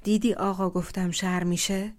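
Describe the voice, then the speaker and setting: A woman's voice: medium pitch, flat delivery, darkish tone, lightly acted. A young village man with an engineer's education, talking in a playful, teasing way, as a woman narrator puts him on. His lines stay close to the narrator's own voice. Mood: pleading.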